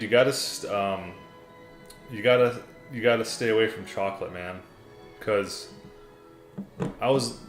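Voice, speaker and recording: A young American man with a low voice chats loosely on a livestream, reading viewers' messages and calling everyone "dude" and "man." The room sounds echoey, and classical music plays clearly behind him. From United States. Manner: laid-back, rambling, says "man" and "dude" often. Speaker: livestream host reading chat. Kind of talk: casual livestream chat. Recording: roomy echo, classical music playing behind.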